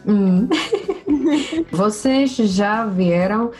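Canadian woman speaking slow, halting Portuguese. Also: medium pitch, lively intonation, darkish tone, soft background music. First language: English. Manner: slow, halting speech in a second language. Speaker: guest. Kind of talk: casual podcast chat.

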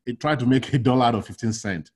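A middle-aged man from Nigeria, a logistics consultant talking casually in an interview, animated and full of restarts and "you know". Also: mid-range voice, fast talker.

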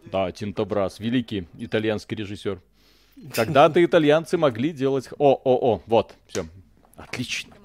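A man's voice: mid-range voice, moderate pace, darkish tone. A man hosting a live video game stream, chatting casually in slangy, joking language with mild expletives.

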